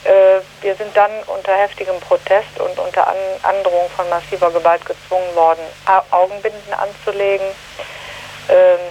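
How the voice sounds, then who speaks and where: A woman giving a spontaneous eyewitness account, earnest and halting as she searches for her words. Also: on the low side, darkish tone, slight background noise, poor audio. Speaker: human rights delegation member giving eyewitness account.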